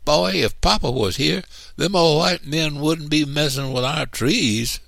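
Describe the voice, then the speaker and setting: A man's voice: fairly high pitch, measured pace, creaky, a bit bright, dry recording, pitched above the performer's own voice, full character voice. A man voicing young Southern children in an audiobook, anxious yet plucky. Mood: plucky, protesting.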